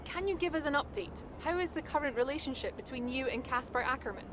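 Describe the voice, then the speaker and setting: A brisk, probing sports reporter puts post-race questions in a high, quick voice for a racing game. Clear outdoor noise is behind her. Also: animated.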